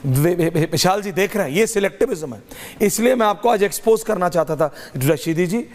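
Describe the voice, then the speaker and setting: A man with a slightly high voice moderates a heated news debate in a reverberant space. He is confrontational, hammers phrases by repeating them and drops in English. His throat sounds strained. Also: animated, brisk, darkish tone. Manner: mixes English phrases into Hindi. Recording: echoing hall-like reverb.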